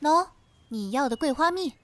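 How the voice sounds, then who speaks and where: A woman's voice: high-pitched, animated, moderate pace, a little hiss or hum. An actress playing a poised, perceptive young lady with a formal, knowing manner in an audio drama. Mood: teasing.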